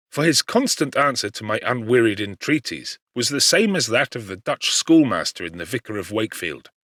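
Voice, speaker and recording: A man voicing a cheerful, warm young gentleman friend in an audiobook, eager and exclamatory in formal old-fashioned speech. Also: mid-range voice, dry recording, clean studio sound. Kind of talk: audiobook character dialogue.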